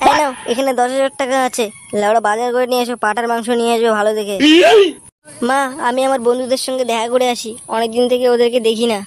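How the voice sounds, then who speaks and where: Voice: mid-range voice, fairly even intonation, fast talker, lightly acted. A performer voicing a cocky, foul-mouthed young son in a comic cartoon dub, with a brash, crude manner. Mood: cocky, defiant.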